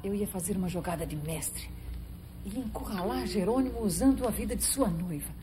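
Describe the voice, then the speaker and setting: A woman's voice: medium pitch, a little fast, lightly acted. A woman acting an imperious, contemptuous gang boss in a Brazilian telenovela, cutting and commanding in her delivery. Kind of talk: 1970s telenovela villain acting. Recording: old TV soundtrack under loud music.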